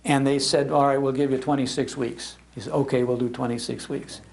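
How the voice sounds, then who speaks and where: An older American man giving an informal, anecdotal public talk in an animated, conversational manner. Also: medium pitch, fairly quick, vocal fry. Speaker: speaker on old-time radio history, broadcaster. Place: public library talk before an audience.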